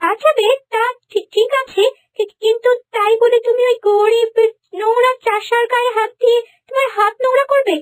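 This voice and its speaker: A woman's voice: extremely high pitch, steady tone, normal speed, few pauses, low-quality recording. A woman voicing a haughty, snobbish young bride of a prince in a cartoon fairy tale, imperious and nagging. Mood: disdainful, bossy.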